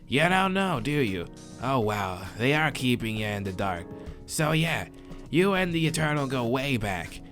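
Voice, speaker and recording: A man's voice: medium pitch, sing-song, measured pace, music in the background. A man voicing a laid-back, friendly surfer-dude moth monster in a visual novel, with slangy, drawling talk. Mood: laid-back, animated. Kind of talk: visual novel character read aloud.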